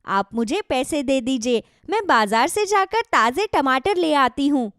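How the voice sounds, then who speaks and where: A woman plays a thrifty village wife from a cartoon moral story. She is outspoken and emphatic, with a very high voice and a dry sound. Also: lively intonation, unhurried, a bit bright, no echo. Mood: helpful.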